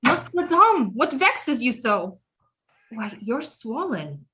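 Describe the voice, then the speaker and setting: A woman's voice: on the high side, very animated, takes it slowly, pushed and bright, full character voice. An actress playing a proud, brooding queen mother in an audio play, resentful of her critics, with a regal, formal and dramatic delivery. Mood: imperious, questioning.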